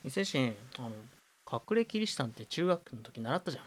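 A man's voice: mid-range voice, sing-song, fairly quick. A man playing a knowledgeable, dryly teasing university student, explaining things matter-of-factly in an amateur radio drama.

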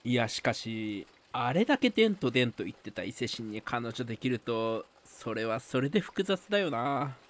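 Comedy radio drama role: teasing, scheming male pal acted by a man, blunt banter, highly expressive intonation. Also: normal speed, hardly any pauses, lightly acted. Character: cynical, teasing, scheming male mahjong buddy.

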